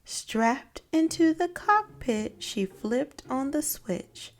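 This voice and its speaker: A woman reading a children's picture book aloud with deliberate, dramatic phrasing. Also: sits high, animated. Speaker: read-aloud storyteller.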